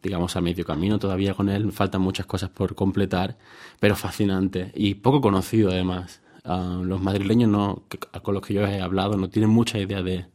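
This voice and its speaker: Low-voiced researcher from Seville. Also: male voice, little pitch movement, fairly quick, darkish tone. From Spain, Seville. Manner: hesitant, many fillers and restarts while thinking aloud. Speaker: guest researcher. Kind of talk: thoughtful interview answers.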